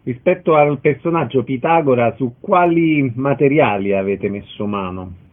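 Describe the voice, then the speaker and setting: A man's voice: mid-range voice, moderate pace. Tinny-sounding male radio host. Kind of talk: radio interview.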